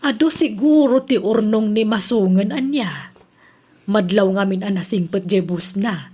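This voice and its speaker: A woman's voice: mid-range voice, measured pace, a little mellow, slightly rough. An Ilocano-accented woman playing a chatty village mother in a radio drama.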